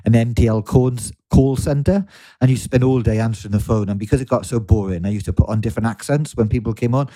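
A man's voice: mid-range voice, fast, dark tone. A middle-aged man with a Welsh accent, hosting a podcast in a casual, chatty way. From Wales. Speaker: podcast host.

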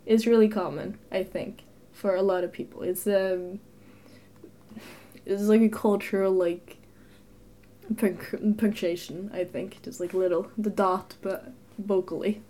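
A woman's voice: mid-range voice, fairly even intonation, measured pace, long pauses, warm tone, a little hiss or hum. A young Norwegian woman co-hosting a casual podcast chat, talkative, often laughing, with lots of little fillers. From Norway. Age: young adult. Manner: chatty, laughs easily, frequent fillers. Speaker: podcast co-host.

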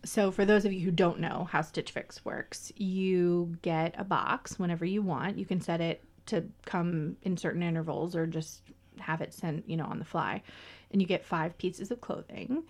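A woman's voice: on the low side, fairly even intonation, moderate pace, darkish tone. A woman co-hosting a podcast, chatting casually and explaining things in detail, with small restarts. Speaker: podcast co-host. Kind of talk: casual chat.

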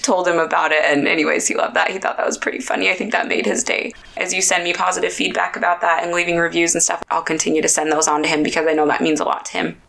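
A woman hosting a podcast in upbeat, chatty talk, a little hoarse and raspy from a sore throat. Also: low-pitched, fairly bright tone. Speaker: podcast host. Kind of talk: casual podcast chat.

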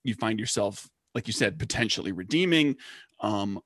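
A man's voice: on the low side, expressive, moderate pace, fairly bright tone, husky, no echo. Rambling man in a dry close recording. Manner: rambling, many restarts and ums. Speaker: podcast co-host. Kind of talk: casual chat.

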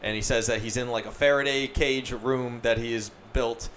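A man's voice: medium pitch, fairly even intonation, normal speed, fairly bright tone, a little hiss or hum. A man hosting a podcast in casual chat, rambling, swearing freely, with many fillers. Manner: profane, rambling, filler-heavy. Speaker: podcast host.

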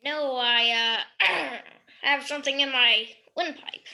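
A polite, uneasy female interviewer, acted by a woman in an audio drama. Her high voice moves slowly and hesitantly, with clear noise in the recording. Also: exaggerated voice. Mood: puzzled. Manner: hesitant, awkward. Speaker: student performer.

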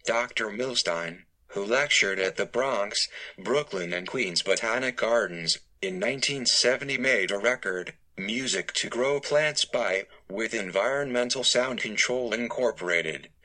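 A man's voice: on the low side, unhurried, poor audio. A man reading a newspaper obituary aloud as scripted narration. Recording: loud music mixed under the voice.

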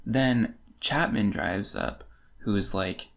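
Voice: deep voice, takes it slowly, creaky. A podcast co-host talking through a book in an informal, wry, casual chat style.

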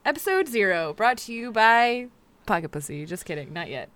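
A woman's voice: mid-range voice, big pitch swings, normal speed, a bit bright. A woman co-hosting a casual podcast, joking, giggling and trailing off mid-thought. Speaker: podcast co-host. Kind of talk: casual chat.

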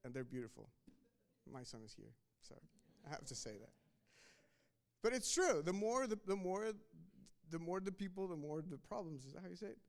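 A man's voice: high-pitched, animated, brisk, gravelly, long pauses. A man from Mexico, preaching a sermon in a conversational way, often restarting and repeating words. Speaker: pastor.

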